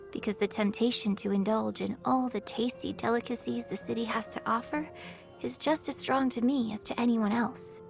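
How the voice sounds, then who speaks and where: An actress playing a refined, gracious young opera star and troupe director in a video game, speaking in a formal, theatrical manner. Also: female voice, a little high, vocal fry. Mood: wistful. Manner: genteel, theatrical, formal wording. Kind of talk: video game character voice lines.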